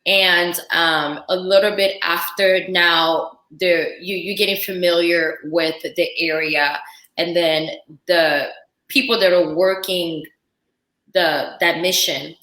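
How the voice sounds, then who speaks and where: Woman, bright and a bit low, chatting lively in an echoey room. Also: takes it slowly. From Central Africa. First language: French. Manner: lively storytelling with frequent laughter. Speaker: guest chef. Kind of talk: casual chat.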